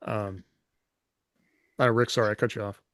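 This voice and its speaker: Fast-talking man in a dry recording. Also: fairly low pitch, fairly even intonation, fairly bright tone, no room sound. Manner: poses rhetorical questions. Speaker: podcast host, former soldier. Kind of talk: casual podcast discussion.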